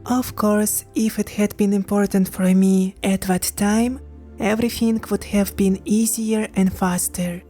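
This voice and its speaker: A young Ukrainian-accented woman speaks her learned English slowly and with care, pausing on fillers as she searches for words. Her tone is soft and mellow, with quiet music playing underneath. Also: mid-range voice, fairly even intonation. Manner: careful non-native English with hesitant fillers. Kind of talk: personal vlog narration.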